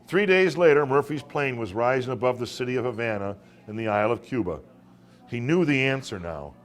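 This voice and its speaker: A man reading his own short story to a live room as a dramatic storyteller, with deliberate pauses and occasional stumbles. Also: mid-range voice, animated. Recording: live room recording with background noise.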